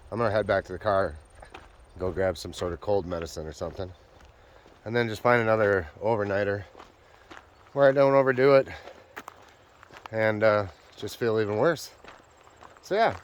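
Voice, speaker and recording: Low-voiced man with a stuffy nose. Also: moderate pace, long pauses, slight background noise. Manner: relaxed, rambling, many uh fillers. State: stuffy nose and sore throat, nasal. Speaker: backpacking vlogger. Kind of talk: casual vlog talk to camera. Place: outdoors on a hiking trail.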